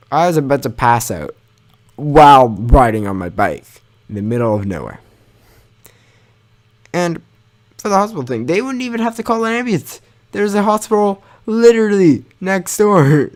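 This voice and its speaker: A young man with a medium-pitched voice tells a personal story as if for his gaming channel. His delivery is informal and loose, with some mild swearing. He talks about himself in a self-mocking way.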